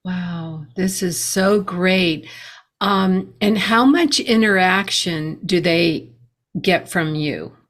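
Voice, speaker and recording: A woman's voice: fairly low pitch, takes it slowly. A woman hosting a podcast, responding encouragingly to her guest. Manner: encouraging, warm reactions. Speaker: podcast host. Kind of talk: podcast interview.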